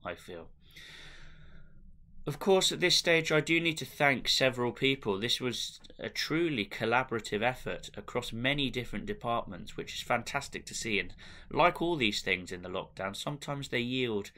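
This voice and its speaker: A middle-aged British man giving a semi-formal thank-you address to camera, speaking in measured phrases with pauses. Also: mid-range voice, sharp tone. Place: small indoor room with bookshelves, at home. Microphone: webcam at desk distance.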